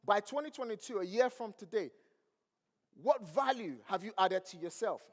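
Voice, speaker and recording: Nigerian-accented male motivational speaker, high voice, crowd questions, ringing hall reverb. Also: expressive, normal speed. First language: not English. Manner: preachy, asks the crowd questions. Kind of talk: motivational stage speech. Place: large event hall.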